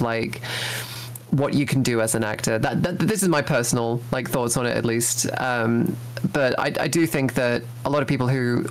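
A young man, a voice actor guest, talking casually in an interview with lots of ums and restarts. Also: mid-range voice. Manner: hesitant, many fillers and restarts.

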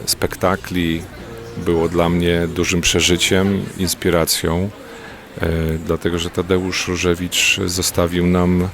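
Deep gravelly man speaking haltingly. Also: monotone, fairly bright tone, soft background music. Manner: hesitant, many filler sounds. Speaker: theatre festival organizer, interviewee. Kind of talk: spontaneous interview answer.

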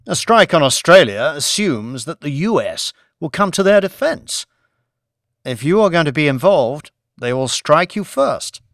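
Man playing a commanding executive, lively pitch. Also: unhurried, no echo, clean studio sound, exaggerated voice. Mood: cool, insistent. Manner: insistent, decisive, giving orders. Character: commanding, coolly scheming male executive newly in power.

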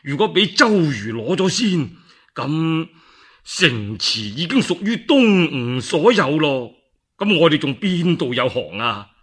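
A man voicing a courteous, cautious middle-aged lord in Cantonese, soft-spoken and earnest. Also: fairly high pitch, sing-song, slow pace, slightly rough, full character voice. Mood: worried.

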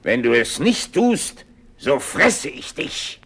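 An actor playing a cunning, menacing fairy-tale wolf in a 1970s radio play, commanding and threatening. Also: male voice, mid-range voice, sing-song, takes it slowly, fairly bright tone, husky, exaggerated voice.

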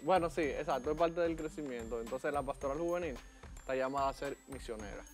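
Young man, Dominican Spanish accent, earnest, medium pitch, faint music. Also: a little fast. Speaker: guest. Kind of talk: casual studio conversation. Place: TV studio set.

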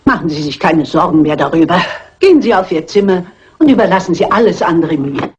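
A woman's voice: lowish voice, expressive, fairly quick, darkish tone, close to an everyday voice. Elderly female amateur detective an actress's role: shrewd, composed, polite, precise. Old crime-film dub, muffled sound. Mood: composed, reassuring. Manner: polite, precise, matter-of-fact.

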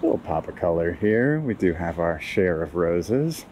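A man giving a casual walking tour outdoors in a city park, with relaxed, wry asides to viewers. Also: sits low, unhurried, slight background noise. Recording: faint outdoor ambience.

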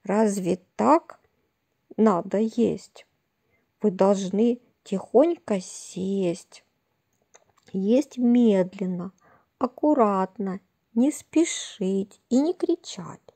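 A woman voicing an old forest witch, gravelly and slow. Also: mid-range voice, warm tone, heavily acted. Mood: scolding. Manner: sings a stomping song, then scolds sternly. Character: old female forest witch, cheerful then strict. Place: outdoors in a leafy garden.

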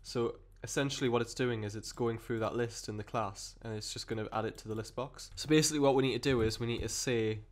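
A man with a cold and a croaky voice, explaining code step by step in a rambling way with many fillers and restarts. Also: medium pitch, fairly even intonation, fast, hardly any pauses. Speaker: programming tutor. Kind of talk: screen-recorded coding tutorial.

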